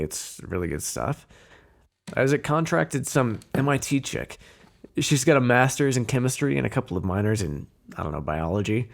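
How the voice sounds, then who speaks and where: A man playing a flirtatious, menacing mob boss in an ASMR roleplay, smooth-talking in a teasing, insinuating way with drawn-out phrasing. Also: medium pitch. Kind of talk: ASMR roleplay voice acting, one-sided dialogue.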